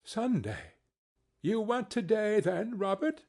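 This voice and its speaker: Male reader as grieving mother, storybook, tender, bravely steady, faltering, higher pitch, unhurried. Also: gravelly, heavily acted. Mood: gentle. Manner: tender, faltering, repeats herself. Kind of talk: storybook character reading.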